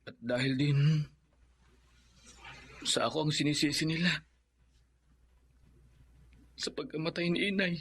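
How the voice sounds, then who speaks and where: A high-pitched young Filipino man of a radio drama. He is humble and easily wounded, and speaks in an earnest, plaintive way. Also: measured pace, pauses often, fairly bright tone, slight background noise. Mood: self-pitying.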